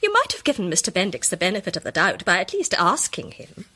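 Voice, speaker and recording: A woman's voice: on the low side, huge pitch swings, quick pace, sharp tone, husky, full character voice. A woman playing a poised, incisive lady amateur detective in a radio drama, precise and formal. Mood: assured, incisive. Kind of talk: British radio drama acting.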